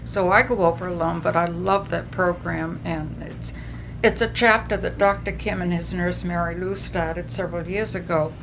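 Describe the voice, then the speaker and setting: A woman's voice: fairly low pitch, background noise. An older woman with a New England accent gives earnest personal testimony at a recovery meeting, telling her story with frequent restarts.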